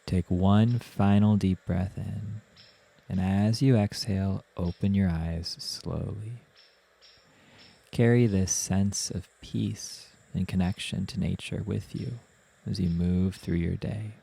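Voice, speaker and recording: Slow, deep-voiced man guiding meditation. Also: steady tone, gravelly, slightly rough. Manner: soothing, gentle, unhurried instruction with long pauses. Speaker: meditation guide. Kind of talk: guided meditation narration.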